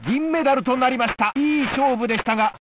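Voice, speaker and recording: Very high-pitched excitable sports announcer. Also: male voice, fast, dull audio, slight background noise. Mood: consoling, earnest. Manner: crisp, emphatic, formal play-by-play delivery. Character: excitable male 1960s Olympic stadium announcer. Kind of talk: live sports commentary for a video game.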